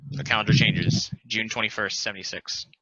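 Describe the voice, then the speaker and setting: A man's voice: on the low side, flat delivery, brisk, sharp tone, no room sound, lo-fi sound. A man reading scripted stage directions as narrator in a play table read.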